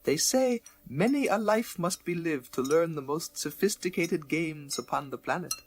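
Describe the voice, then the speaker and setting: An actor playing a humble, wily shopkeeper and informant in a radio drama, with stilted, overpolite, self-deprecating broken English. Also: male voice, on the high side, lively intonation, moderate pace. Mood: humble, cryptic. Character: humble, wily male antique-shop proprietor and informant. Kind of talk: radio drama character dialogue.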